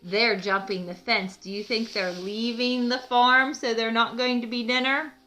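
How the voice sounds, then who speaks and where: Lady reading a kids book, kinda high voice, slow n teacherly, expressive. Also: faint music behind. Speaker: read-aloud host. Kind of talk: children's storybook narration with explanatory asides.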